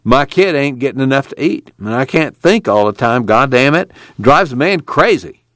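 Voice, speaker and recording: A man voicing a bitter, weary male migrant farmhand with a rough, folksy rural drawl. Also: mid-range voice, animated, normal speed, a bit bright, dry recording, voice pushed up, natural voice.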